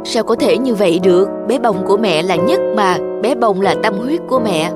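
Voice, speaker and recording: A woman voicing a caring mother in a children's cartoon, explaining things gently. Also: mid-range voice, expressive, normal speed, lightly acted. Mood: tender. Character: caring mother of a young girl, sometimes hurried. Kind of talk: Vietnamese children's cartoon voice acting. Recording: music bed behind the voice.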